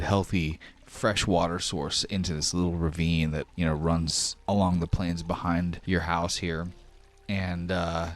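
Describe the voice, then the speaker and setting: Male game master, low register, conversational tabletop roleplay narration.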